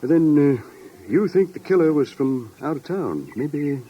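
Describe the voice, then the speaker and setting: An actor playing an unhurried, folksy small-town American sheriff who thinks aloud hesitantly in a 1950s radio drama. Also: male voice, on the high side, animated, slightly rough. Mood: thoughtful. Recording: dull vintage radio recording.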